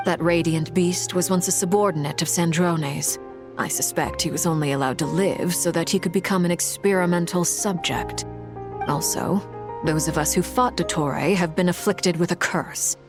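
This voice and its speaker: Woman voicing low-pitched, composed female commander. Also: lightly acted. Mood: grave explaining. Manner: formal, measured, dry, deadpan. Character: cool, composed, dryly condescending female Harbinger commander. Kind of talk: English video game voice acting. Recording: game music behind the voice.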